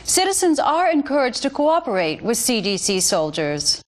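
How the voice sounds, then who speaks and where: An American television news anchor from a video game. Her voice sits a little high, and she reads scripted bulletins in a formal, emphatic way. She sounds polished and earnest, every phrase official and measured. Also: very animated. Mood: grave, official. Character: polished, earnest propaganda TV newswoman.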